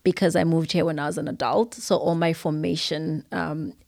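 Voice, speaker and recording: A woman's voice: low voice, steady tone, normal speed, gravelly, darkish tone, dry recording, studio quality. A young adult woman from Zimbabwe with a Zimbabwean accent, talking thoughtfully as a podcast guest. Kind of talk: casual conversation.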